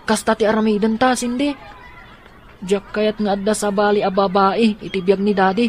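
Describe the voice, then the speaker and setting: A woman's voice: mid-range voice, fairly even intonation, moderate pace, a little mellow, lightly acted. A woman playing a worried but resolute, scheming young girl in a radio drama. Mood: determined. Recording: faint background noise and ambience.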